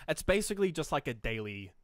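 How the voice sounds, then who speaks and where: A man's voice: on the high side, very animated, fast, no echo. Casual male podcaster, dry close sound. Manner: informal, acting out imagined reactions, swearing. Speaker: podcast co-host. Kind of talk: casual film discussion.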